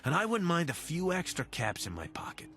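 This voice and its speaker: An actor playing an affable, curious wasteland scavenger in a video game, casual, wry and eager to team up. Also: male voice, fairly high pitch, huge pitch swings, fairly quick, sharp tone, soft background music, heavily acted. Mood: persuasive. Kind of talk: video game companion dialogue.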